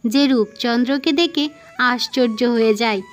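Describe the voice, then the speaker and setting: A woman's voice: fairly high pitch, unhurried. A woman reading scripted fairy-tale narration as the storyteller of a cartoon. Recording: music behind the voice.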